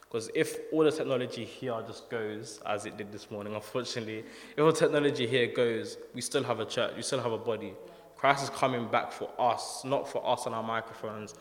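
A young man gives a relaxed, soft-spoken sermon at a middle pitch, often pausing with ums. His voice goes through a microphone and rings in a large church hall with long reverb. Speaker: preacher. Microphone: handheld or stand microphone.

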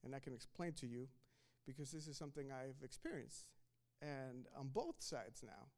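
A man's voice: mid-range voice, very animated, normal speed, darkish tone. A man from Mexico, preaching a sermon in a conversational way, often restarting and repeating words. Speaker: pastor.

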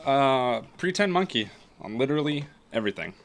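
A man's voice: medium pitch, sing-song, brisk. A man co-hosting a casual podcast, dropping short, dry quips. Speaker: podcast co-host. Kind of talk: casual chat.

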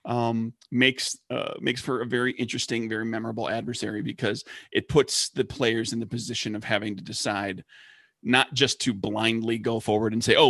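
A man's voice: on the low side, a bit bright, dry recording. A man co-hosting a podcast about tabletop games, thinking aloud in long rambling turns. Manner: rambling, many restarts and ums. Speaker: podcast co-host. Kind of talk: casual chat.

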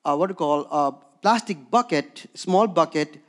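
Higher-voiced man preaching with a Himalayan accent. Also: moderate pace. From Darjeeling, India, with Bhutanese background. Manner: conversational, frequently checks in with listeners with "okay?". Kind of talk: sermon with personal storytelling.

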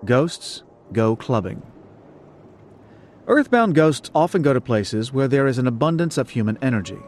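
A man narrating a scripted first-person audiobook in a measured, explanatory way. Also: mid-range voice, sing-song, a little mellow, slight background noise.

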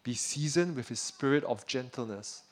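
A man from Singapore preaches, his voice sitting at a middle pitch. He talks earnestly and keeps checking in with his listeners. On heavy points he sinks lower and softer. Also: normal speed. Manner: drops pitch and volume on weighty points. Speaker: pastor. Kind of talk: sermon.

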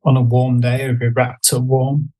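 A man with a middling-pitched voice, the hesitant, musing guest on a laid-back creative podcast. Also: little pitch movement, moderate pace, warm tone, low-quality recording. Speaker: podcast guest, graphic designer. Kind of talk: casual chat.

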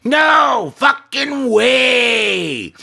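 A woman's voice: high voice, very animated, drawn-out words, creaky, pushed and bright, shouting, voice pushed up, exaggerated voice. A man voicing a tiny, very drunk woman who shrieks with spiteful rage. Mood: raging. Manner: shrieking, furious, slurred.

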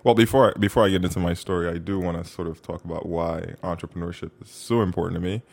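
Man from Haiti, deep voice, gravelly fry, casual podcast guest, lots of fillers. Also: fast talker. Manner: relaxed storytelling, frequent fillers, bursts of laughter. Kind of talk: casual interview.

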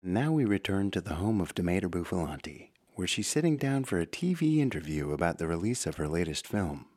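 A man delivers scripted narration for an audio drama as a dramatic announcer. His voice is low and husky, with lively swings in intonation. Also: fairly quick, full character voice. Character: dramatic storytelling male narrator.

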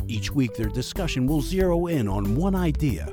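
Show intro announcer, very lively pitch, clear music behind. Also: normal speed. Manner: lively, polished announcer delivery.

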